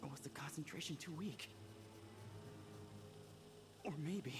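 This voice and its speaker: Casting: man as a jittery accomplice, high light voice, noisy game audio. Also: lightly acted. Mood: anxious. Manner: fretful rapid questions. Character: nervous young male accomplice, anxious and hesitant. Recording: game audio with background noise.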